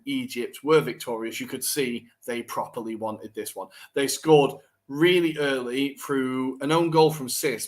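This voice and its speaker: Chatty man recapping football. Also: mid-range voice. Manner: chatty and animated, blunt, quick to laugh. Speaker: podcast co-host. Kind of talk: casual football recap chat.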